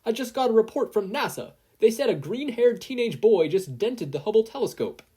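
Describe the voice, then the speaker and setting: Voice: mid-range voice, expressive, fairly quick, mellow, husky, voice pushed up. A performer voicing a frantic, urgent secretary to a president in an audio story.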